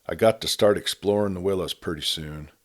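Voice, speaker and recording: A man voicing a soft-spoken, half-wild young western drifter in an audiobook, with a gentle, unemotional rustic drawl. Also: sits low, fairly quick. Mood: gentle, earnest.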